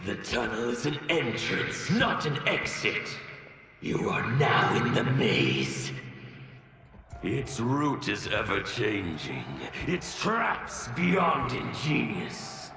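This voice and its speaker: A man's voice: mid-range voice, gravelly, background noise, exaggerated voice. An actor playing a gloating male cult leader villain who savors talk of killing, in a video game cutscene. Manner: slow, relishing menace. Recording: roomy game mix with loud music.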